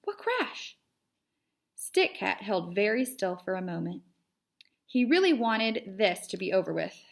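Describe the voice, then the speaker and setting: A woman's voice: medium pitch, animated, normal speed, creaky. A woman reading a children's storybook aloud as a narrator, like a teacher to pupils.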